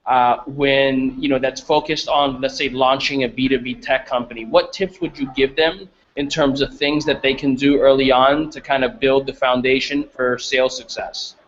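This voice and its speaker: A man interviews in a casual, conversational way, with halting phrasing and repeated words. His voice sits at a medium pitch. The recording is a little dull and lo-fi, like call audio. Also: steady tone, a bit bright. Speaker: interviewer, host.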